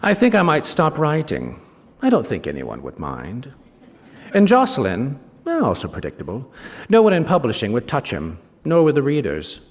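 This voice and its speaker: An actor playing a smug, ageing novelist, reading a short story on stage with wry, self-satisfied, theatrical emphasis. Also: male voice, mid-range voice, wildly swooping pitch, normal speed. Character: smug ageing novelist recounting his betrayal of a friend. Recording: reverberant hall, live stage reading.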